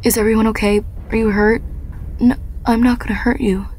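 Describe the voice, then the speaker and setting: A woman's voice: a little high, little pitch movement, brisk, darkish tone, a little hiss or hum, natural voice. A woman playing a traumatized young woman who narrates her violent awakening in an audio drama. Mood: pleading. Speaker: lead actress and narrator.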